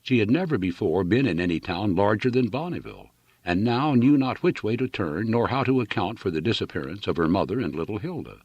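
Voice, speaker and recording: Low husky guy reading a classic novel, dramatic, lots of pitch movement, dry sound. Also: lively intonation, brisk, darkish tone, no echo, muffled recording. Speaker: audiobook narrator. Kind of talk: dramatic literary narration.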